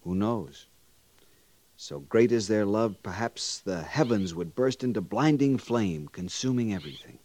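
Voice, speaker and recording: Expressive, low-voiced young cavalry lieutenant. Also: male voice, measured pace, no echo. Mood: wistful, tender. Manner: polite, gentle, formal. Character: earnest young cavalry lieutenant, courteous, easily flustered. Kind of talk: 1950s radio drama acting. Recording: hissy vintage radio recording.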